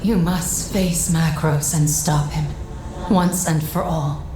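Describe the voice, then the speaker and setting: Slow, solemn mystic guide. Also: female voice, low-pitched, steady tone, background noise. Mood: urgent, solemn. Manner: measured, grave, deliberate. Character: solemn, commanding mystic woman guiding a warrior. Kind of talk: cinematic fantasy game acting. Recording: echoing hall with music behind.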